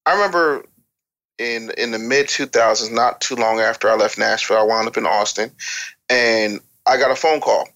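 Bright-voiced man, a candid storyteller. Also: mid-range voice, normal speed, dull audio. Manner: candid storyteller who acts out dialogue. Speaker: podcast guest. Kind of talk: casual anecdotal conversation.

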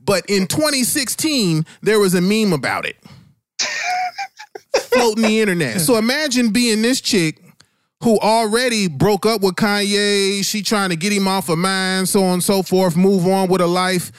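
A man's voice: sits high, moderate pace, clear, resonant tone, no room sound, studio quality. A man hosting a casual podcast discussion, blunt and profane, repeating phrases for emphasis. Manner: blunt, animated, emphatic repetition for effect. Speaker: podcast host.

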